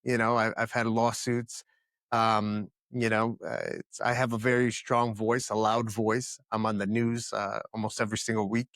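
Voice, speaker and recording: A man's voice: fairly low pitch, level tone, moderate pace, fairly bright tone, no room sound, studio quality. A man in his thirties from the Dominican Republic, answering interview questions in an earnest, emphatic way and often restarting his words. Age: 30s. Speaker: podcast guest, company founder.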